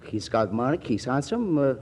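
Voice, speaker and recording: An actor playing an excitable, mooching Latin friend with a Spanish accent and halting, mangled English in a 1940s radio comedy. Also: male voice, mid-range voice, husky. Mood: wistful, prying. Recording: noisy vintage 1940s radio broadcast.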